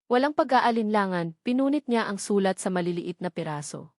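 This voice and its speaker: Casting: female storyteller, mid-pitched, for dramatic scripted fiction, close dry sound. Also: expressive, fairly quick, no echo.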